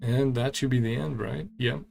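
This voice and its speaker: A man's voice: medium pitch, steady tone, normal speed, creaky, a little mellow, faint music behind. A man doing casual playthrough commentary on a video game, speaking in clipped fragments and murmured reactions. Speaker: gaming channel host.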